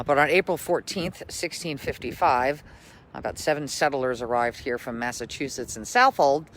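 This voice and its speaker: Guy doing local history tour guide storytelling, bright forward voice, emphatic, bit of background noise. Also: medium pitch, rough recording. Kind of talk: scripted story reading, then informal tour talk.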